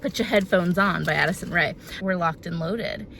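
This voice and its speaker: A woman's voice: on the low side, normal speed, gravelly, a bit bright, a little hiss or hum. A woman in her thirties talking casually to camera in a vlog, chatty and bubbly with a slightly congested sound. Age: 30s. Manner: chatty, bubbly, self-deprecating asides. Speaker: vlogger. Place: out and about, car and home.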